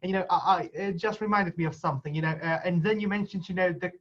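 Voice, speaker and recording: A man with a Polish accent, a native Polish speaker, chatting haltingly on a video call and filling every pause with "you know". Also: sits high, a bit bright. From Poland. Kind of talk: casual chat. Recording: muffled video call audio.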